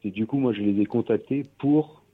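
A man with a lowish, husky voice talks through a tinny, phone-like radio line. He speaks as a guest musician in a casual interview. He hesitates a lot and restarts his sentences. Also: normal speed. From Pyrénées-Orientales, France. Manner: hesitant, many fillers and restarts.

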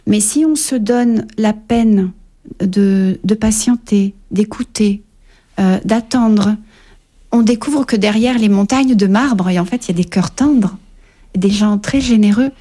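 Woman guest author, mellow tone, reflective and halting, radio interview. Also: medium pitch. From Paris, France. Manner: hesitant, many fillers, warm and reflective. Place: radio studio.

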